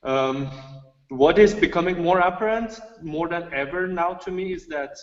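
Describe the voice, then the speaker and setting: A man's voice: fairly high pitch, normal speed. A man whose first language is Persian, speaking in an online seminar discussion and hesitating and restarting often as he searches for words. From Iran. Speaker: seminar participant, artist. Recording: dull echoey room audio.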